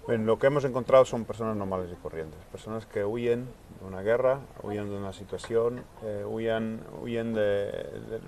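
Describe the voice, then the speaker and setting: A man who coordinates a charity's refugee work answers interview questions earnestly, pausing often with "eh" and repeating words. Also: fairly low pitch, darkish tone, slight background noise. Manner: earnest, searching for words with many hesitations.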